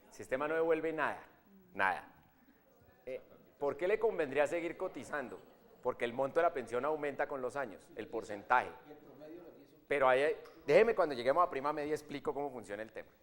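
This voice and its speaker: A man's voice: medium pitch, expressive, brisk. A man giving an informal seminar lecture on pensions, explaining in a plain, emphatic way with colloquial asides.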